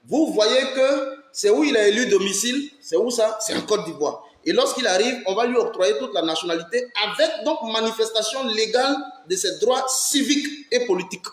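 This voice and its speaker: A man delivers fiery political commentary in a fairly high voice. He hammers his points with rhetorical questions and keeps urging his audience to listen closely. The room around him rings with a noticeable echo. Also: moderate pace, hardly any pauses. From Ivory Coast. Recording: roomy echo.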